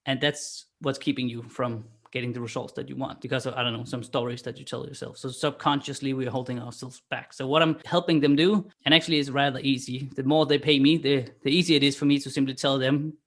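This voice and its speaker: A man's voice: mid-range voice, level tone, quick pace, few pauses, fairly bright tone. A man from Denmark with a Danish accent, speaking English as a second language, explaining his work as a podcast guest with frequent restarts and fillers. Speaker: guest, online marketer. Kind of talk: podcast interview.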